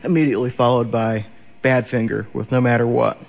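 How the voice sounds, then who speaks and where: A man's voice: medium pitch, little pitch movement, brisk, gravelly, highs cut off. A young man hosting as a college radio DJ, chatting casually between songs in a sleepy, hesitant, rambling way on an over-the-air recording. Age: young adult.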